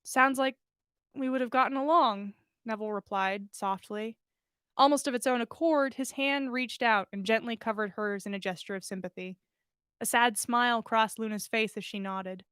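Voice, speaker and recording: A woman's voice: medium pitch, moderate pace, a bit bright, clear, resonant tone, no echo, studio quality. A woman narrating a story expressively, as a podcast host reading fan fiction aloud.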